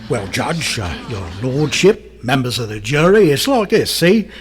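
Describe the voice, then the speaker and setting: A man's voice: mid-range voice, big pitch swings, measured pace. An actor playing a chummy, unhinged London man on trial, justifying himself in a radio drama monologue. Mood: nervous, pleading.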